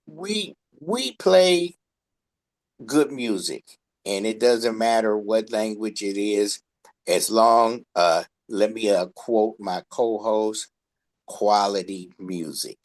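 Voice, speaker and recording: A man hosting a radio interview, chatting casually with halting, hesitant phrasing. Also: lowish voice. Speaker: radio host.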